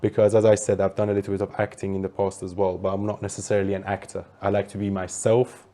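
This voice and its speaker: A man's voice: sits low, level tone, fast, warm tone. A man in his thirties from Iran, a political commentator giving long, earnest interview answers. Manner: earnest, fluent, talks at length.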